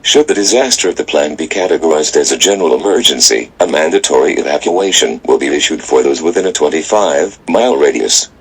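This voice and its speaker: A man's voice: rumbling low, animated, quick pace, few pauses, breathy edge. A man reading out a scripted civil emergency announcement.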